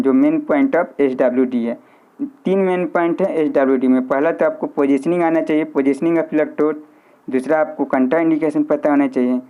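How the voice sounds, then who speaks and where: A man giving an informal instructional lecture, repeating key points and mixing in English technical terms. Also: fairly high pitch. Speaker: physiotherapy teacher. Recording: muffled, narrow lo-fi sound.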